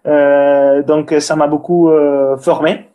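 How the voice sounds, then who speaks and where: An Italian man in his thirties with a slightly high voice, speaking French as a second language. He talks casually and hesitantly, full of fillers, restarts and small grammar slips, as a guest explaining his path in an interview. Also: flat delivery, takes it slowly, dark tone. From Italy. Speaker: breathing and cold exposure instructor, guest.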